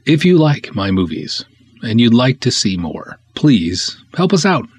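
A man's voice: medium pitch, sing-song, measured pace, slightly rough, no room sound. A man hosting a podcast as a filmmaker, giving a casual solo update in a conversational, enthusiastic way with emphatic stresses. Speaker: podcast host, film writer-director.

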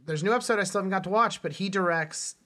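A man's voice: high voice, rapid-fire, sharp tone. A man co-hosting a podcast, chatting casually about film and television. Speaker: podcast co-host.

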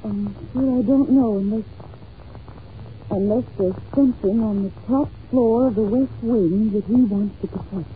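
A woman's voice: medium pitch, measured pace, close to an everyday voice. Muffled radio with faint hiss, woman acting young governess, anxious, well-mannered, hesitant, earnest. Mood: confiding. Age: young adult. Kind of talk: old-time radio drama acting. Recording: muffled, hissy old-time radio recording.

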